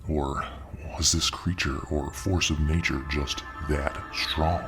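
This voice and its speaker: Extremely deep slow male storyteller. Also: fairly even intonation. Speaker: narrator. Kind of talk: ASMR audio fiction narration. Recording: narration over clear music.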